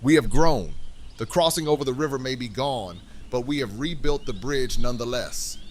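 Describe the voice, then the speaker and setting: A man plays a kingly leader of a community in an audio drama. He speaks in a grand, theatrical way, like a formal orator, with warmth. Faint noise sits behind him. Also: medium pitch, normal speed, close to an everyday voice. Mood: proud, ceremonial. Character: stately, warm community leader known as a king.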